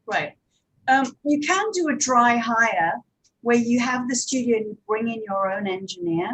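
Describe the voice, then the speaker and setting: A woman's voice: fairly high pitch, expressive, unhurried, fairly bright tone, breathy edge, poor audio. A woman producer and director talking informally on a panel, in a conversational, hedging way.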